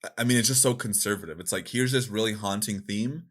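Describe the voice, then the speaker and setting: A man chatting casually as a podcast co-host, with an animated, informal manner. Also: on the low side, fast talker. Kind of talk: casual podcast chat about video games.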